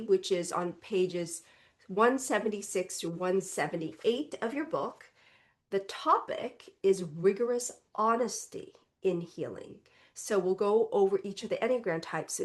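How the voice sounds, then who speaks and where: A woman reverend gives a slow, contemplative spiritual talk in a congested, stuffy voice. She speaks gently and reflectively, and often adds a soft "right?" as she explains. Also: mid-range voice. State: congested, recovering from illness.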